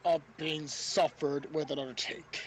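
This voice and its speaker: A man's voice: a little high, steady tone, measured pace, low-quality recording. A man chipping in with grumbling, casual remarks as a podcast panelist. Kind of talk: casual interjections. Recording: faint background noise.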